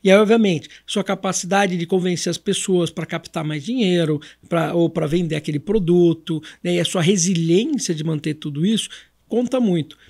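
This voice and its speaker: A Brazilian man with a notably high voice talks as a podcast guest. He explains things conversationally and didactically, often repeating phrases and answering his own questions. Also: normal speed, darkish tone. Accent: Brazilian Portuguese.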